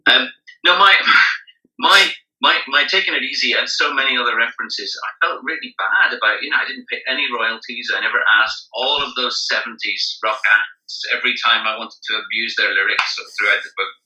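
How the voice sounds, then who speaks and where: A man with a Northern Irish accent telling casual anecdotes in a halting, wry way. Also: mid-range voice, sharp tone. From Northern Ireland. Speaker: interview guest, travel writer and magazine editor. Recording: roomy, distant, slightly dull microphone.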